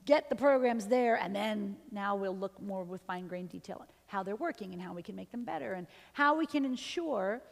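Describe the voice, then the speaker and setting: A middle-aged woman from New York, a university professor, answering questions after her talk in a chatty, animated way. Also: medium pitch, fairly quick, creaky, few pauses. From New York, USA. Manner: chatty, animated, laughs easily. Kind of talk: lecture and audience Q&A. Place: large auditorium stage. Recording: long hall reverb from the auditorium.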